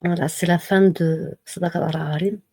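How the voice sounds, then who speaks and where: A woman giving a slow, measured devotional reading and reminder, pausing often between phrases. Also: fairly low pitch, steady tone, a little mellow, slightly rough, no room sound. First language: not Arabic. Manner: slow, measured recitation with pauses. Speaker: religious reminder speaker.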